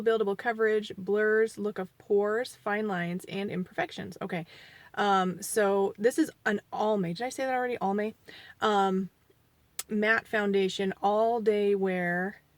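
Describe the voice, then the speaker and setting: A woman's voice: mid-range voice, no echo. Chatty sniffly woman in her forties. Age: 40s. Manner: chatty, rambling, self-deprecating; quieter and mumbling while applying makeup. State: sniffly from allergies. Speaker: beauty vlogger. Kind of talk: casual makeup review.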